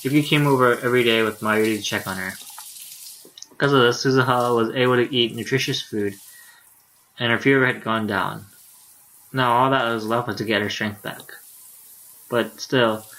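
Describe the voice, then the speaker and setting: A man's voice: mid-range voice, steady tone, moderate pace, pauses often, fairly bright tone, low-quality recording. A tired male streamer reading a visual novel aloud in a laid-back way, with casual asides. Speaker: live streamer.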